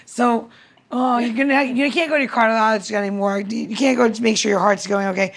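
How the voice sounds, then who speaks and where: A woman's voice: on the high side, fairly even intonation, fairly quick, gravelly. A woman chatting casually as a co-host, blunt and heated, with very colloquial phrasing. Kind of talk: casual chat.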